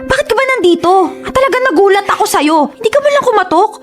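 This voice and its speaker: Young radio drama girl, high voice all over the place, stubborn and scolding, bit of music behind. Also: animated, racing. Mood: startled, scolding. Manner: emphatic, scolding. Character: young woman torn by guilt, exasperated, stubborn, loyal to her sister. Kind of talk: Filipino radio drama acting.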